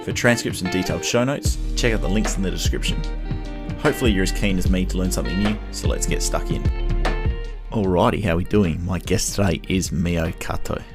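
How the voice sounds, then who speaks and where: Deep gravelly guy hosting a podcast, enthusiastic intro, soft guitar behind. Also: brisk. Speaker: podcast host.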